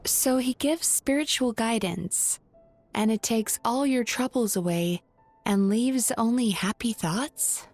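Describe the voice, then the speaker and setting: Game role: composed young female psychologist, higher-than-average pitch, measured and analytical. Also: a little mellow, soft background music. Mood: inquiring. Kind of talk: video game voice acting.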